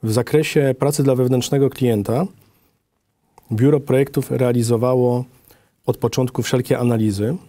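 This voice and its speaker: A middle-aged man delivers a formal professional presentation. His voice is dark and mellow, recorded dry and close, and he sets out his points methodically at a measured rate. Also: mid-range voice, fairly even intonation, no room sound. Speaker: design office director presenting.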